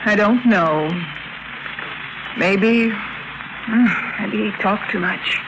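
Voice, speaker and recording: An actress playing a mysterious, cool and steely countess in a 1950s radio drama. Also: female voice, medium pitch, very slow. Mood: evasive. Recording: noisy vintage 1950s radio recording.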